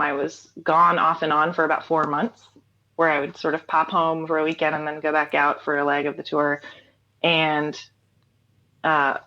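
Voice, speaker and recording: A woman's voice: low voice, a bit bright, lo-fi sound. A woman in her thirties, a singer-songwriter talking casually as an interview guest, rambling with fillers and laughs.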